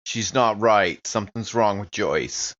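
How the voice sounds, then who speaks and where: A man's voice: lowish voice, measured pace, fairly bright tone, dry recording, highs cut off. A man playing a tabletop roleplaying game with casual, slangy talk.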